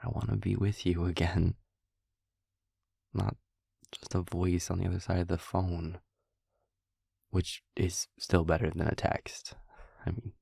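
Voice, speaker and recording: A man acts out a lovesick boyfriend leaving a voicemail in an audio roleplay. His voice is deep and heavily creaky, very husky and breathy. He speaks softly and haltingly, full of tender affection. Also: dry recording, clean studio sound, heavily acted. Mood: longing. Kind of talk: intimate audio roleplay acting.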